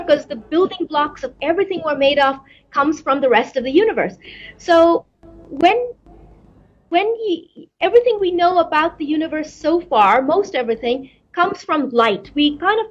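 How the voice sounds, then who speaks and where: A woman's voice: high voice, lo-fi sound. A middle-aged woman from Pakistan, an astrophysicist answering interview questions thoughtfully, often repeating words as she searches for them. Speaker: astrophysicist guest.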